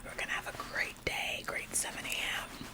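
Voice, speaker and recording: A woman's voice: moderate pace, close to an everyday voice. A woman in a whispered ASMR roleplay, playing an overeager, officious office employee who acts like the boss, chirpy, fussy and politely bossy.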